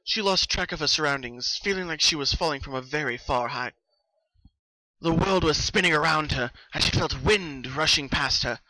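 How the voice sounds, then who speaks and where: A man narrating a story as a dramatic reading, with expressive, suspenseful delivery. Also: a little high, normal speed, dry recording, poor audio. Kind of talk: dramatic fan fiction reading.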